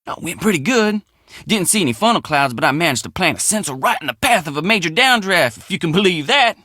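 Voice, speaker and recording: An actor playing a folksy, earnest, excitable young country handyman in a video game, with an American Southern accent and a colloquial drawl. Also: high voice, fast talker, dry recording, studio quality, exaggerated voice. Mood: friendly, upbeat. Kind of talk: video game character dialogue.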